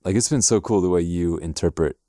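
Laid back producer guy, super low voice, dark mellow tone. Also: brisk, dry recording, studio quality. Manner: relaxed and self-deprecating. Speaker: music producer, podcast guest. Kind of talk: casual chat.

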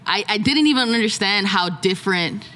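A young adult woman from Jamaica, a podcast guest telling her story in casual chat, outgoing and animated, acting out the voices she quotes. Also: mid-range voice, a little fast.

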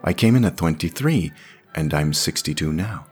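A man's voice: a bass voice, lively intonation, normal speed, husky, faint music behind, heavily acted. A man voicing a skeptical, bewildered woman in her sixties in an audio drama, with short questioning replies. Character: skeptical sixty-two-year-old woman, dry, bewildered employee.

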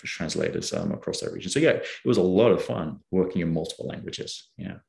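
Deep, fry-edged male guest from the UK, chatty storyteller who voices quotes. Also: brisk. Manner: animated storyteller, acts out quoted dialogue. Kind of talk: casual storytelling interview.

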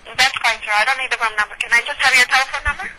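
A woman working as a hotel phone operator, patient and formal, speaking deliberately over a breaking-up telephone line. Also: sits high, pushed and bright, slight background noise. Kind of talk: customer service phone call.

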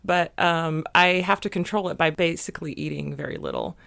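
A young woman with a hoarse, gravelly voice, pitched a little low. She chats on a podcast in a halting way, with lots of ums and a dry, self-mocking wit. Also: very animated, fairly bright tone. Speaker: podcast co-host. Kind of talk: casual podcast chat.

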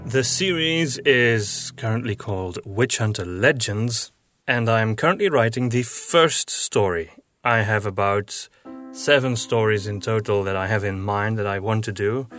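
A man's voice: fairly low pitch, measured pace, fairly bright tone, soft background music. A man hosting a podcast, explaining news and projects to listeners in a conversational way. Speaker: podcast host and audio drama writer.

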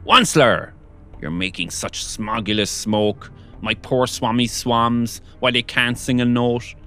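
A man's voice: measured pace, soft background music. A man voicing a small, indignant male forest creature from a storybook, raised and scolding. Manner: scolding, high-pitched and loud. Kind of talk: storybook reading.

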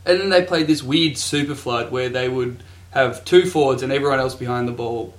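A man's voice: medium pitch, moderate pace. A man co-hosting a casual sports podcast, joking and mock-grandiose. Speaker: podcast co-host. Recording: echoey room.

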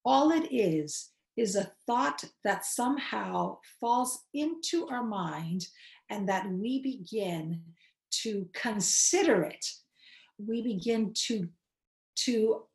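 A woman from Queens, New York City, giving measured, explanatory answers as an interview guest. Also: a little high, animated. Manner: measured, explanatory, slows for key points. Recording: roomy echo.

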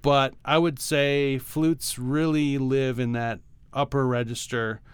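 Guy cohosting a music podcast, kinda halting and hedgy, clean smooth voice, really dry sound. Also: mid-range voice, flat delivery, dry recording. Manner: halting, hedging, explains by analogy. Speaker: podcast co-host. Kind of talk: casual music discussion.

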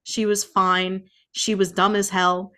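A woman's voice: medium pitch, little pitch movement, slow pace, bright, forceful tone. Blunt, sarcastic woman chatting about books. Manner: sarcastic, blunt, frequent swearing. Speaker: podcast co-host. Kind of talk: casual chat, book review.

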